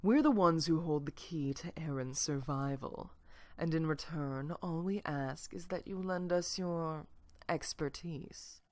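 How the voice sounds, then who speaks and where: A woman playing a cold, controlling villain in an audio drama, speaking in a condescending, commanding, measured way. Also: sits low, no echo. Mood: cold, businesslike.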